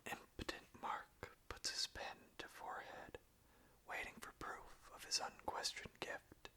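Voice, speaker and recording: An ASMR host of unclear sex speaking softly and deliberately in a breathy, unvoiced whisper. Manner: soft, slow, deliberate. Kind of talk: whispered ASMR, slow reading and soft chat.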